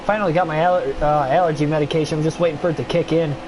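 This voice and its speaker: A man's voice: on the high side, fairly even intonation, rapid-fire, noisy background. A young man with a stuffy nose and a tiring voice, calling a video game race play-by-play and chatting with his stream viewers. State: stuffy nose, voice getting worn. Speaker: streamer doing race commentary. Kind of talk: play-by-play sim racing commentary.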